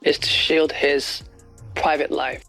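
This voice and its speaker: Male interviewee, heavy vocal fry, careful and measured documentary testimony, soft music bed. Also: on the high side, flat delivery. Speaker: former executive assistant giving an interview.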